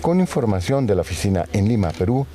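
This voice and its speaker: A man narrates a news report with a very low, mellow voice. His delivery is measured and even, in steady scripted phrasing.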